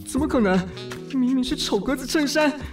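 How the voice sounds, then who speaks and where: A man voicing a fussy, excitable, lovestruck man in an audio drama, theatrical and exclamatory. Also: very high voice, fairly quick, music in the background. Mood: indignant. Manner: theatrical, exclamatory, self-questioning. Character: fussy, excitable, vain man smitten at first sight. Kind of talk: audio drama acting with inner monologue.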